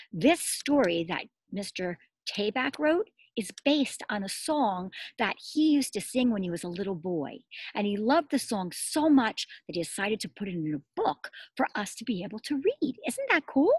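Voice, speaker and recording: A middle-aged woman, a preschool teacher, reads a story aloud expressively, pausing to explain simply and ask small children questions. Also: mid-range voice, breathy edge, no room sound. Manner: expressive, addressing small children with simple words and emphatic repetition. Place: outdoors in a garden.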